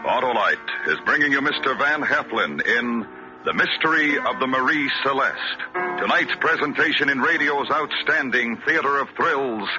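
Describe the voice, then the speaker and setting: A man's voice: mid-range voice, moderate pace. A man announcing a 1940s radio show in a formal, dramatic style. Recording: muffled old radio recording, loud music behind.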